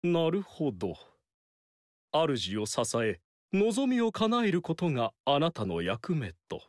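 Brief: vain, scheming male strategist for a game; big swooping delivery, unhurried, dry studio sound. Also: a little high, huge pitch swings, no room sound, full character voice. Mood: sly. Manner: boastful, theatrical, swings loud and soft. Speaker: game character voice. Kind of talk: Japanese game dialogue acting. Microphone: dry studio mic.

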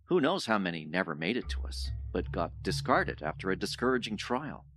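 Pompous, animated older professor. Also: male voice, low-pitched, a little fast. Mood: lecturing. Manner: pompous, lecturing, formal wording. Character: older male professor, condescending, coldly rational zealot. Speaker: actor in an audio drama. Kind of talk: audio drama dialogue. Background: music.

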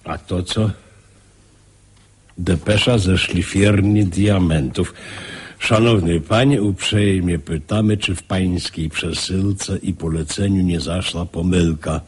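Wealthy stiff businessman for radio drama: slow, deliberate, heavily raspy. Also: deep voice, steady tone, heavily acted. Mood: baffled. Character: stiff, wealthy male businessman, growing baffled.

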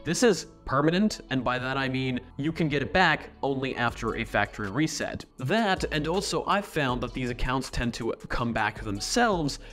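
A young man recording a tech tutorial in a small home studio, walking viewers through setup steps in a clear, explanatory way. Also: mid-range voice, animated, moderate pace, hardly any pauses, fairly bright tone, soft background music. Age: young adult. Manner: explanatory, step-by-step instructions. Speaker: VR tech YouTuber. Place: small home studio room with neon light.

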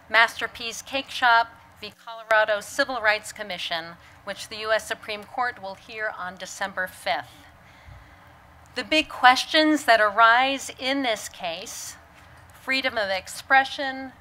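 A woman's voice: medium pitch, pushed and bright, rough recording. An American woman in her forties hosting an event, giving a formal welcome speech at a podium microphone. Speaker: event host and administrator. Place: auditorium stage.

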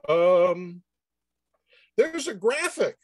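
A man on a panel answering questions informally in a halting, hesitant way. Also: high-pitched, big pitch swings. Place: indoor room on a video call.

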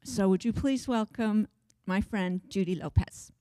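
A woman's voice: mid-range voice, measured pace, mellow, no echo. A woman on a church stage introducing a guest speaker into a handheld mic.

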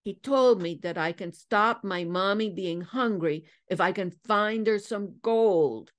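Woman putting on a scrappy, slow-talking little street girl. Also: mid-range voice, natural voice. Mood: defiant. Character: poor, hungry, ferocious dirty little street girl. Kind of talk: dramatic audiobook storytelling.

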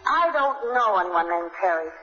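An actress playing a haughty, indignant wife with an imperious manner, in 1950s radio drama style. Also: female voice, mid-range voice, expressive, moderate pace, pushed and bright, faint music behind. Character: haughty, indignant wife denying murder accusation. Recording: echoey, dull 1950s radio recording.

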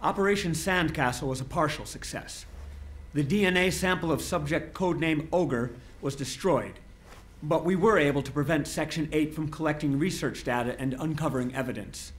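An actor playing a cold, composed government official in a video game cutscene, measured, formal and detached. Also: male voice, fairly high pitch, close to an everyday voice. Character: cold composed male government official, coolly bureaucratic and menacing.

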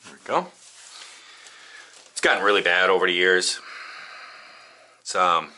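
A man's voice: low-pitched, little pitch movement, unhurried, pushed and bright, a little hiss or hum. A man casually rambling in a home-renovation vlog, tired and achy, talking to a head-mounted camera in a small bathroom. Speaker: renovation vlogger.

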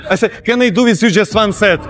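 A man's voice: high-pitched, fast talker. A young man posing as a cleaner in a gym, apologizing and giggling constantly in broken English. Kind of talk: hidden-camera prank. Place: large indoor gym. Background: music.

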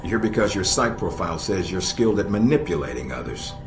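An actor playing a stern, middle-aged American intelligence operations chief in a video game, measured and dryly sardonic. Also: male voice, low voice, sing-song. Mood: instructive, firm. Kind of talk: video game cutscene dialogue. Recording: echoey, music underneath.

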